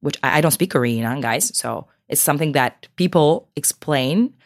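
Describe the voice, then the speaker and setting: A woman with a Brazilian accent, co-hosting a podcast in animated casual conversation, often laughing and saying "you know". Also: deep voice, moderate pace, no echo, clean studio sound. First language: Brazilian Portuguese. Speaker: podcast co-host.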